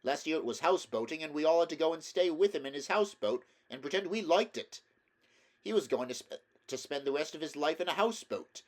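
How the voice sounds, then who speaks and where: Storybook water rat , read by a man: sociable, easygoing animal chap, chirpy and chummy, voice pitched up. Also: expressive, a little fast, lightly acted. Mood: exasperated, gossipy. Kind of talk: storybook character voice in a read-aloud.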